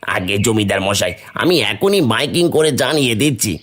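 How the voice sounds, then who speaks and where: A man's voice: on the low side, big pitch swings, moderate pace, a bit bright. A man voicing a deferential landlord's steward and village crier in a cartoon, with a declamatory manner. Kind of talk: Bengali cartoon story acting.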